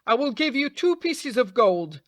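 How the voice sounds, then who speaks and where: A woman's voice: high voice, sing-song, a little slow, no echo, clean studio sound. A woman voicing a shrewd male travelling peddler in a storybook, bargaining in a businesslike way. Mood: courteous.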